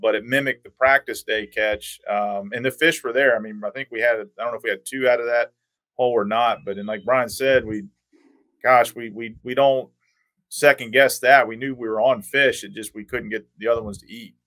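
A man in his forties talks casually as a podcast guest on a video call. His voice is mid-pitched with very little room echo. He is measured and repeats words to stress them. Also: fairly even intonation, fairly bright tone. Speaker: podcast guest, tournament angler. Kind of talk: casual storytelling chat.

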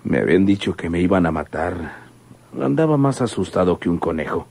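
An actor with a Mexican accent playing a carefree, flirtatious fugitive in a radio serial. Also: male voice, sits low, animated, fairly quick, gravelly, darkish tone, exaggerated voice. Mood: jovial, flirtatious. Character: carefree flirtatious man on the run.

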